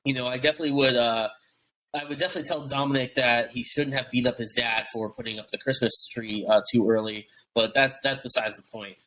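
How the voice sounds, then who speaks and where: Bright-voiced rambling young podcast host. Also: male voice, medium pitch, steady tone, quick pace, poor audio. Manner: rambling, many fillers and restarts. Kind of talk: casual wrestling podcast chat.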